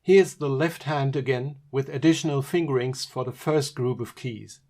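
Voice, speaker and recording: A man's voice: medium pitch, normal speed, darkish tone. A man giving scripted instructional narration as a music teacher.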